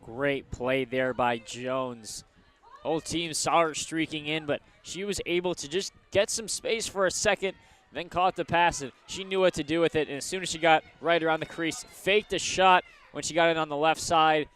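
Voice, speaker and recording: A male color commentator on a live sports radio broadcast speaks in a smooth, very clear voice that sits a little high. He breaks down the play conversationally, explaining as he goes. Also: moderate pace, a bit bright. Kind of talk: live sports analysis between plays.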